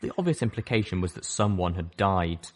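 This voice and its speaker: A man reading a story aloud as first-person narration, expressive and dramatic. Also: low-pitched, fairly quick. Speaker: audiobook reader.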